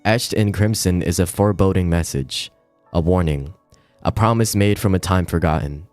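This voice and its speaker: Male game streamer, low register, clean and dry sound, informal and a bit halting. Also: a little mellow, no room sound. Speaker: livestreamer. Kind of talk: casual livestream chat, reading game text aloud. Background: music.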